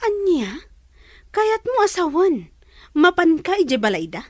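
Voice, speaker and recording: Woman acting a high-voiced, nosy younger sister. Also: very animated, unhurried, a little mellow, dry recording, studio quality, exaggerated voice. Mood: disapproving. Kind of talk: Ilocano audio drama acting.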